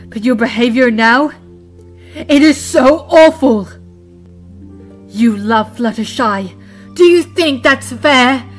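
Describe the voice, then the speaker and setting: A woman voicing a wise, scolding female zebra who speaks in dramatic, deliberate rhyme in an audio drama. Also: fairly high pitch. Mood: indignant. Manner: deliberate, rhyming, dramatic delivery. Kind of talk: fan audio drama acting.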